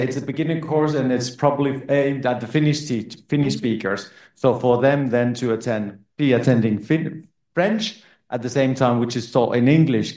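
A man's voice: mid-range voice, darkish tone. A man on university staff answering student questions in a casual, chatty way, often restarting his sentences. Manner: loose and chatty, with frequent restarts. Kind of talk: casual Q&A session. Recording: echoey room.

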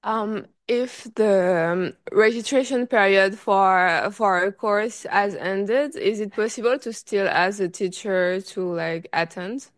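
A woman student asking a question slowly and hesitantly. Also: medium pitch, no room sound. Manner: slow and hesitant.

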